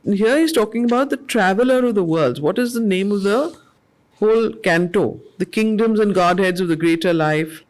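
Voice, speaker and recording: A woman leading a study circle, reading verse slowly and then explaining it to the group in an earnest, questioning way. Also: mid-range voice, a little mellow. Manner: slow, measured recitation that repeats lines of verse, then conversational explaining; earnest, asks the group questions and answers them herself. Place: weekly study group gathering.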